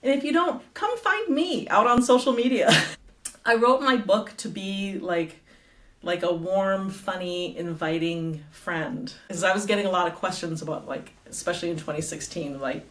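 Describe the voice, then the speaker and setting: A woman's voice: on the low side, normal speed. Chatty woman guest with a roomy echo. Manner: chatty, laughs often, many fillers. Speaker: guest, advocate and author. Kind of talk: casual interview conversation. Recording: roomy echo, distant from the mic.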